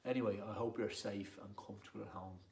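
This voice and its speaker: A man's voice: lowish voice, steady tone, quick pace. An Irish man from Dublin with a Dublin accent, chatting casually and self-deprecatingly as a poet introducing himself. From Dublin, Ireland. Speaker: poet and performer. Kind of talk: casual rambling introduction to camera. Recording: roomy echo with clear background noise.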